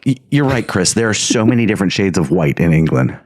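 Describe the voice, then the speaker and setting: Expressive man teasing his co-hosts. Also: deep voice, fast talker, no room sound, studio quality. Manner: teasing, reads articles aloud. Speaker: podcast co-host. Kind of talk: casual chat.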